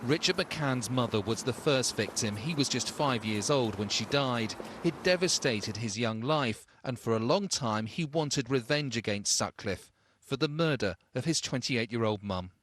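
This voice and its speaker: A man's voice: mid-range voice, lively intonation, normal speed, a bit bright. A man reading a scripted news report as a reporter.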